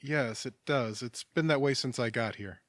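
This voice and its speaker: An actor playing a mild, kindly businessman in a naturalistic audio drama, talking in a gentle, measured, slightly hesitant way. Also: medium pitch, dry recording, studio quality, close to an everyday voice. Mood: patient, sympathetic. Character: mild, settled, kindly businessman, a patient listener.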